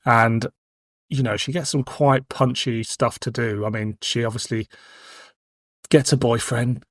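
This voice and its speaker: A man with a medium-pitched voice chats as a podcast co-host. He talks through his opinions informally and poses questions to his partner. The recording is very dry, without room sound. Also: fairly even intonation, unhurried, dry recording. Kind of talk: casual discussion, posing questions to co-host.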